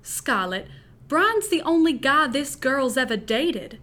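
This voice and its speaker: A protective Southern father who loves to tease, put on by a woman. He speaks in a folksy, put-on drawl. The voice stays high and bright. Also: very animated, slow, full character voice. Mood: teasing. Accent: American Southern, put on.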